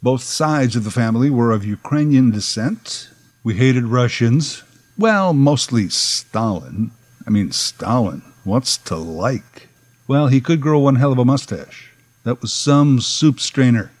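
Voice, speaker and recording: Sardonic middle-aged man, lively pitch. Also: a little slow, dry recording. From Canada. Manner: dry, sardonic, opinionated. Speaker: podcast host. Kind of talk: personal monologue podcast.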